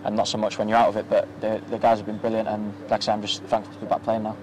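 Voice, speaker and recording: Young male footballer, flat low delivery, halting, outdoor handheld-mic interview. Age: young adult. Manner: soft-spoken, many ums and restarts. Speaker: professional footballer. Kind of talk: post-match interview. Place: outdoors at a floodlit football ground at night. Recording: outdoor ambience with faint noise.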